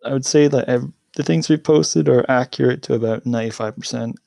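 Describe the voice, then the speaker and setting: A man's voice: mid-range voice, a little fast, creaky, dark tone, dry recording, clean studio sound. A man speaking as a guest in a casual online chat, rambling with lots of fillers.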